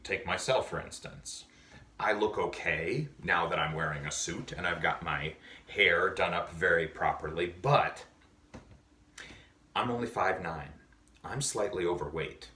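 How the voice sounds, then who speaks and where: Deep voiced guy giving drama kids a slow serious pep talk, mic kinda far and roomy. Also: sharp tone, a little hiss or hum. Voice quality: low voice. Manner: earnest, measured advice with many pauses. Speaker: awards presenter. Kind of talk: prepared speech to drama students. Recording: echoey, distant mic.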